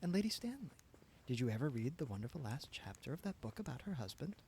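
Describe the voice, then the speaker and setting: A man's voice: mid-range voice, very animated, fast, darkish tone, slight background noise, pitched above the performer's own voice. A man voicing an earnest, idealistic young woman who speaks in a lofty, lightly reproving way, read as an audiobook character.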